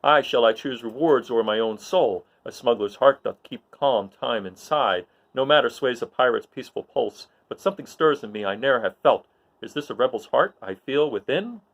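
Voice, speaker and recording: A man's voice: medium pitch, expressive, normal speed, natural voice. A man voicing a proud, roguish smuggler pilot torn by conscience, in a brooding, declamatory Shakespearean soliloquy. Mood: conflicted, resolute.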